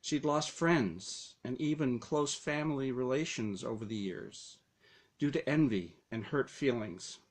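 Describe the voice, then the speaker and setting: A man reading his own first-person story aloud as narration, with dramatic, deliberate phrasing. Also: medium pitch.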